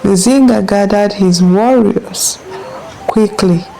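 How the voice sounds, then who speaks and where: A woman narrating a scripted folktale as a storyteller, in measured phrases with deliberate pauses. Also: medium pitch, warm tone, a little hiss or hum, low-quality recording.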